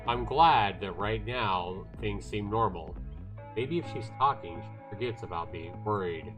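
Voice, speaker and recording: A man's voice: on the low side, unhurried. A man livestreaming, narrating a visual novel aloud expressively with casual asides, game music playing behind him.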